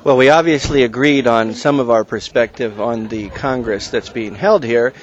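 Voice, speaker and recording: A man's voice: mid-range voice, normal speed, dull audio. A man interviewing a guest outdoors, friendly and agreeing. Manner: friendly, agreeing, many "mm-hmm"s. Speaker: interviewer, agricultural journalist. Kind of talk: conversational interview.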